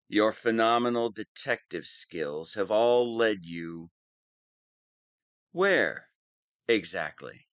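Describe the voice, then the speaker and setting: A man's voice: on the low side, smooth, dry recording. A man playing a theatrical wannabe supervillain in an audio roleplay, pompous and smug with a petulant, whiny streak. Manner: pompous monologuing that slips into whining. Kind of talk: scripted audio roleplay monologue.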